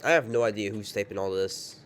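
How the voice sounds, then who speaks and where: A man's voice: fairly low pitch, brisk. A man giving casual, off-the-cuff commentary as host of a reaction video.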